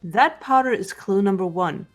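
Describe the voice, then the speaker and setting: Calm, unemotional teenage girl detective played by a woman. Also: medium pitch, normal speed, faint music behind, close to an everyday voice. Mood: calm, analytical. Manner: composed, matter-of-fact, precise. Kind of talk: scripted visual novel voice acting.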